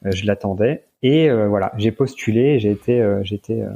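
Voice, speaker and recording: Mellow, halting middle-aged Frenchman. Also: on the low side, vocal fry, dull audio. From northern France. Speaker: interview guest, events manager. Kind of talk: casual conversational interview.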